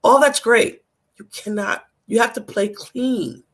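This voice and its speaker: A co-host in a relaxed sports chat whose voice is hoarse and nearly gone. The sound is gravelly and rough, and the opinions come out blunt and emphatic. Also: mid-range voice, a little slow.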